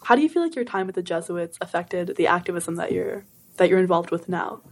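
A woman's voice: lowish voice, animated, brisk, a little hiss or hum. A woman hosting an interview, listening attentively with frequent short affirmations and asking thoughtful questions. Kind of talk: conversational interview.

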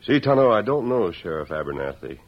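An actor playing a calm, resolute western lawman in old-time radio style, speaking formally and with measured authority. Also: lowish voice. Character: calm, resolute masked lawman posing as farmhand. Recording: muffled vintage radio recording.

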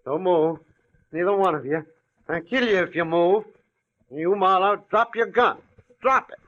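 An actor playing a jittery, folksy rancher with a greedy streak in an old-time radio drama. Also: male voice, high voice, measured pace, a bit bright. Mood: menacing, gloating. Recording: dull vintage radio recording.

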